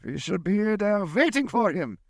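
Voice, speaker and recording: A man's voice: sits high, big pitch swings, unhurried, no echo, clean studio sound, exaggerated voice. A man playing a commanding, urgent vampire hunter in a theatrical, insistent old-time radio drama style. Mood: resolute. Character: commanding, urgent male vampire hunter questioning a hypnotized woman.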